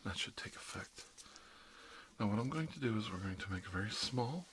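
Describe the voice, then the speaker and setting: Guy acting as a soothing asmr dentist, deep soft voice, lots of whispering, noisy background with clicks. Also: expressive, fairly quick. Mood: gentle, focused. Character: calm reassuring dentist treating an undead patient. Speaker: ASMR roleplay performer. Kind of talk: ASMR roleplay, murmured one-sided dialogue. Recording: clear background noise, clicks.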